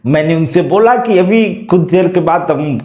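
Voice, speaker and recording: A man with a fairly high voice talks casually as a vlog host handling a phone call. He is probing and circles back over his questions. The sound is somewhat dull and lo-fi. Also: expressive, moderate pace.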